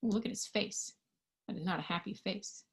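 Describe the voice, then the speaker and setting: A woman reading a picture book aloud to young children in an expressive, child-directed storytime style. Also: medium pitch, a little fast. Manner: expressive, child-directed, with questions and sound effects.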